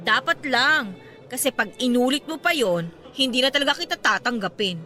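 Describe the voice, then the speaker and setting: A woman's voice: high-pitched, animated, moderate pace, bright, forceful tone. A woman with a Filipino accent playing a devoted, affectionate girlfriend in a radio drama. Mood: firm. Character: devoted, sweet girlfriend in her thirties, newly graduated. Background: background music.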